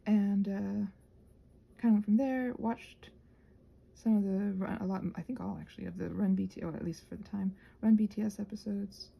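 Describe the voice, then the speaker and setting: A soft-spoken woman in a casual, rambling chat while she crafts, meandering and interrupting herself as she murmurs along. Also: medium pitch, steady tone, moderate pace, creaky, breathy edge, muffled recording. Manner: meandering, digressive, self-interrupting. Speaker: craft vlogger and audio creator.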